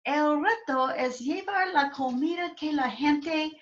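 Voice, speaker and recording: A woman language student reading a text aloud slowly and carefully on a video call. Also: high-pitched, lo-fi sound.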